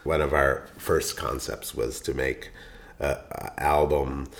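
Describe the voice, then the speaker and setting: Very deep-voiced man, rambling musician. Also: takes it slowly, vocal fry. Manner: rambling, animated storytelling with many fillers. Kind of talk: interview storytelling.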